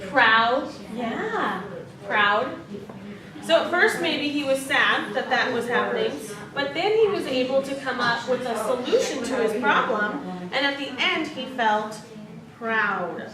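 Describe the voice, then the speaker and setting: A woman's voice: high-pitched, animated, measured pace, a crowd in the background. A woman training as a teacher, leading a read-aloud discussion with encouraging questions. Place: indoor room with background noise. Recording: roomy, distant mic, noisy classroom.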